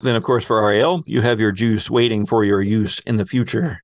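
A man hosting a tabletop roleplaying game as game master, narrating scenes deliberately and pausing mid-sentence as he improvises. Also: on the low side, fairly even intonation, no echo, studio quality.

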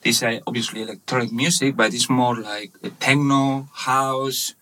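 A man in his thirties from Spain with a Spanish accent, speaking English as a second language, telling his story haltingly in a casual interview with many fillers. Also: medium pitch, steady tone, bright, forceful tone, slight background noise, low-quality recording. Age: 30s. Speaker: guest, webshop manager.

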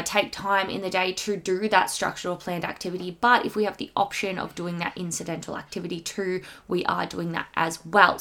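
A woman speaks at a medium pitch with a slightly clogged-up, under-the-weather sound. She hosts an informative podcast in the manner of a school teacher, addressing listeners directly and explaining as she goes. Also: fairly bright tone. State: congested, slightly unwell. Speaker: podcast host, secondary school health teacher.

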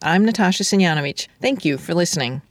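A woman narrating a scripted epilogue in a measured, even manner. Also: fairly low pitch, no echo, clean studio sound.

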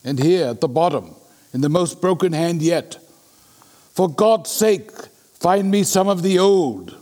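A man playing a sober, sceptical Victorian gentleman in an audio drama, with a measured, formal, questioning manner. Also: a little high, expressive. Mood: reading aloud. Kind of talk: dramatic audio play acting.

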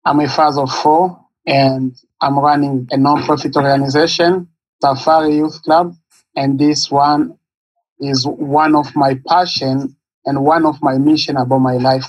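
A man in his thirties from Congo, a guest in an interview, telling his life story in halting with pauses as he searches for words. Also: on the high side, breathy edge, no room sound, highs cut off. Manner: halting, searching for words, non-native English phrasing. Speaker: guest, nonprofit founder.

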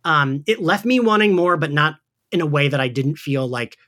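A man co-hosting a podcast, talking in an excitable, chatty way about something he is a fan of. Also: on the high side, moderate pace. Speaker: podcast co-host. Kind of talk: casual fan discussion.

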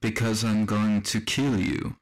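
An actor playing a coolly logical, condescending AI machine with murderous intent in a radio drama. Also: male voice, fairly low pitch, steady tone, unhurried, slight background noise, natural voice. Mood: cold, menacing. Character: calm, menacing AI music machine bent on killing. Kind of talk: scripted radio drama dialogue. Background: faint music.

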